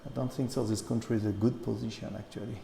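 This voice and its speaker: A middle-aged man with a French accent chatting as an interview guest, speaking non-native English haltingly and searching for words. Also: fairly low pitch, flat delivery, gravelly, warm tone. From Grenoble, France. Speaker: interview guest, cheese shop owner. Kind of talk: casual conversational interview. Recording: echoey room with long reverb.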